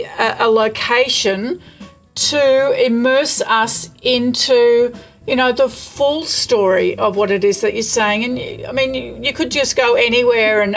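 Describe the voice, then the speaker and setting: A woman's voice: a little high, a bit bright, poor audio. A woman with an Australian accent hosting a casual interview in an encouraging, appreciative manner. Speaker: interviewer, podcast host.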